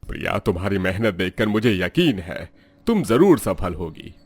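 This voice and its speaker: Man voicing a gentle teacher, lowish and lively, dry sound. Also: normal speed, breathy edge, no echo, heavily acted. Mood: encouraging. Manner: gentle and encouraging. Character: kind fatherly teacher.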